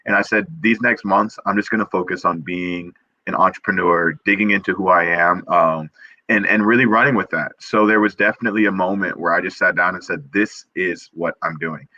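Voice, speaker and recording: A man's voice: low voice, sharp tone, no room sound, highs cut off. A young man, a perfumer guest on a video call, talking earnestly and eagerly, full of restarts and fillers. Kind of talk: casual interview chat.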